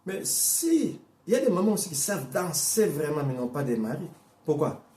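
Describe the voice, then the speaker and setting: Emphatic preacher, dark echoey voice. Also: male voice, fairly high pitch, very animated. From Portuguese-speaking Africa. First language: Portuguese. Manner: emphatic, repeats and restarts phrases, shifts between slow stress and quick bursts. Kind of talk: sermon.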